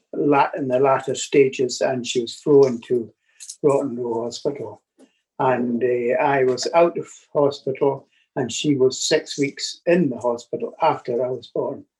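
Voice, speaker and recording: Slow, halting older Scottish man. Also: mid-range voice, a little mellow, breathy edge, low-quality recording. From Scotland. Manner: unhurried, halting, with restarts. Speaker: guest. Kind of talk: storytelling interview over video call. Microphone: video call.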